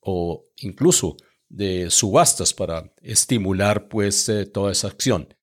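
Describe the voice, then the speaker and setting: Casting: male interview host, lowish pitch, formal and measured phrasing. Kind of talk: interview questioning.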